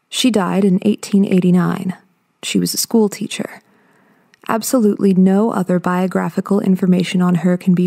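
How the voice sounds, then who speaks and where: An actress playing a weary, uneasy investigator of hauntings in her fifties, reading a report in a measured, subdued way for an audio drama. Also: mid-range voice, vocal fry, dark tone. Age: 50s.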